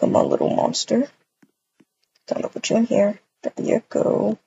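A woman's voice: mid-range voice, level tone, moderate pace, vocal fry, mellow, highs cut off, a little hiss or hum. A woman voicing a sweet, doting young wife and mother in a game roleplay, tender and a little hesitant. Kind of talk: amateur voiced game roleplay.

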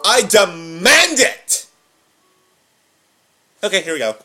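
Lively young man reacting, wide pitch swings. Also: takes it slowly. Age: young adult. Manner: excitable, jokey interjections. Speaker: reaction video host. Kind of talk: casual reaction commentary. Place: living room on a couch. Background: audio drama playing with faint music.

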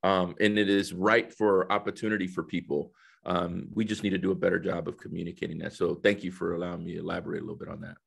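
A man in his fifties with a low voice talks as an interview guest. He explains things conversationally, pausing and restarting as he goes, and often checks in with a short tag question. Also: level tone, gravelly, husky. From El Salvador, raised in the United States. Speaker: guest, corporate diversity executive. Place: indoor office, video call. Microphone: headset on a video call.

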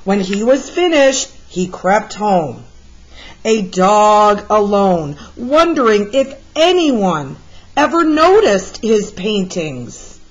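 Slow-paced woman reading a children's story. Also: medium pitch, very animated, husky, lo-fi sound. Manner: measured, deliberate storytelling delivery. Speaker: read-aloud storyteller, art teacher. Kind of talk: expressive children's picture book read-aloud.

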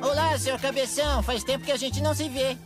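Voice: sits high, fairly even intonation, fast, over loud music, close to an everyday voice. An actor voicing a polite, naive young male wallaby, earnest and mild, in a Brazilian cartoon dub.

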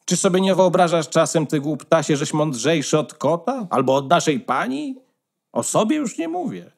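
A smug, scolding hen from a fairy-tale radio play, acted by a man. The voice is fairly high and swoops widely as she lectures with condescending self-importance. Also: sing-song, normal speed, vocal fry, full character voice.

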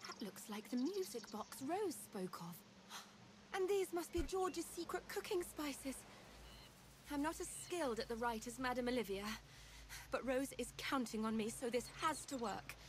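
Young mystic apprentice girl in a pirate game, high voice, earnest and determined, ambient noise behind. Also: lively intonation, normal speed. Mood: hopeful, determined. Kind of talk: scripted game dialogue.

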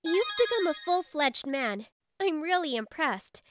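A woman's voice: high-pitched, lively intonation, a little slow, music in the background. A woman playing a friendly, supportive young female office coworker in a manga video dub. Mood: friendly, encouraging. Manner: encouraging, friendly.

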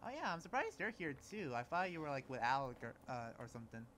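A man's voice: fairly high pitch, very animated, fast, a bit bright, a little hiss or hum. A man improvising roleplay dialogue in a hesitant, conversational way. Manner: hesitant, filler-heavy, conversational.

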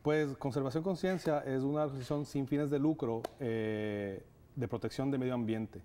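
A man in his thirties from Ecuador answers questions in a studio interview on a lapel mic. His voice is mid-pitched, with a dark, warm tone. He talks earnestly and fills his pauses with many hesitant sounds. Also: slight background noise. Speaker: guest, nonprofit director. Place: TV studio set.

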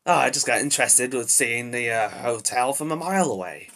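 A man voicing a short-tempered demon devil with bat-wing ears in amateur character acting, with a raspy voice and an irritable, flustered, blurting manner. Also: medium pitch, expressive, a little fast, sharp tone. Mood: awkward, offhand. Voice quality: raspy, gravelly.

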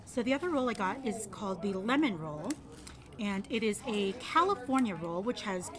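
A woman's voice: medium pitch, sing-song, moderate pace, crowd noise. A young woman vlogging a casual food review at a restaurant table, talking through each bite. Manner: chatty, describes each bite. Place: restaurant patio, outdoors. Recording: noisy patio ambience.

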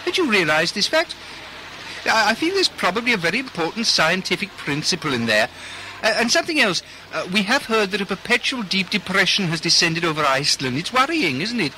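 Man playing an exuberant, bright-voiced 1920s radio announcer. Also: high-pitched, big pitch swings, a little fast, slight background noise, exaggerated voice, poor audio. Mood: mock solemn. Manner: rambling, theatrical, playfully hesitant. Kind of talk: radio play acting as a 1920s broadcaster.

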